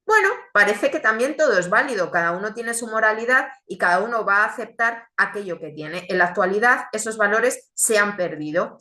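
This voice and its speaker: A woman, born in Chile, giving an earnest, reflective religious testimony with deliberate pauses. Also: mid-range voice, animated, bright, forceful tone, reverberant. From Chile, raised in Spain.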